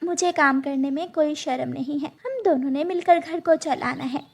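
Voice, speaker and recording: Woman as a high-voiced gentle mother bird. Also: moderate pace, creaky. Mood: earnest. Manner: soft, humble, earnest. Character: gentle, dutiful mother bird, worried wife.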